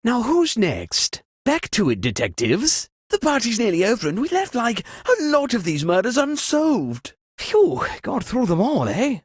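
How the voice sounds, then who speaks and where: Pompous, wildly swooping murder-mystery host. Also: female voice, on the high side, huge pitch swings, breathy edge, dry recording, studio quality, exaggerated voice. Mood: dry, sardonic. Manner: theatrical, pompous, drawn-out delivery. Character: theatrical aristocratic murder-mystery party host, lordly and sardonic. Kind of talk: dramatic game voice lines.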